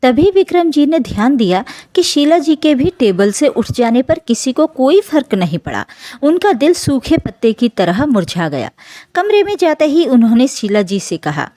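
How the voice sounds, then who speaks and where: A woman narrating an emotional family story from a script in an expressive, dramatic storytelling manner. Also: sits high, normal speed, no echo.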